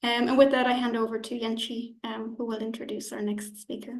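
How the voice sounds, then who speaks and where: A woman's voice: on the high side, little pitch movement, brisk. A woman giving a prepared academic presentation as an editor at a roundtable, with some hesitations. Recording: roomy, echoing sound.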